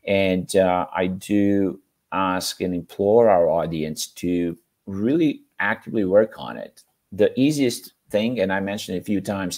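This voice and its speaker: A man's voice: sits low, a little mellow. A man whose first language is Serbian, giving encouraging sales feedback in English with frequent restarts during an online session. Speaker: sales mentor.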